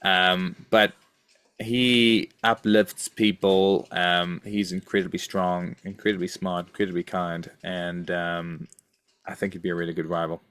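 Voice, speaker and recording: A man's voice: sits low, little pitch movement, unhurried, fairly bright tone, clear, resonant tone, no echo. A man co-hosting a podcast in casual chat, thoughtful and hesitant, often repeating his phrases. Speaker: podcast co-host.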